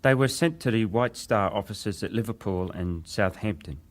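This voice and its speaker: An actor playing a young British wireless operator giving testimony in a formal, deferential, precise way, as in a dramatized hearing. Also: male voice, on the low side, normal speed, natural voice. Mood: precise, factual.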